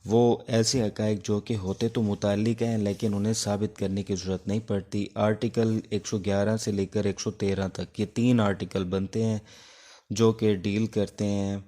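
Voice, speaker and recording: Male law lecturer, Pakistani accent, low register and very even delivery, informal and explanatory, mixing in English terms. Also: normal speed. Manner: explanatory, code-switches between Urdu and English. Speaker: law teacher and advocate.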